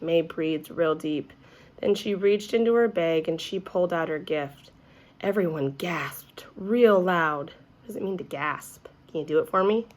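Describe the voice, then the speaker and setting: A woman's voice: fairly low pitch, a little slow. A woman reading a children's story aloud expressively, as if to young listeners. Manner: addresses young listeners with questions.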